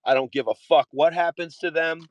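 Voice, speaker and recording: A man's voice: fairly high pitch, fairly even intonation, a little fast, dry recording, dull audio. A man delivering an impassioned monologue in a vehement, repetitive way, often swearing. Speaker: activist.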